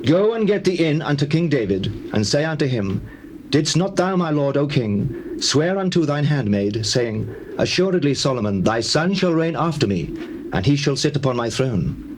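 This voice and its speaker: An actor playing an urgent, forceful biblical figure in a scripture drama, with declamatory delivery. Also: male voice, fairly high pitch, big pitch swings, moderate pace. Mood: urgent counsel. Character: urgent, forceful biblical man of high station. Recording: faintly noisy old cassette tape.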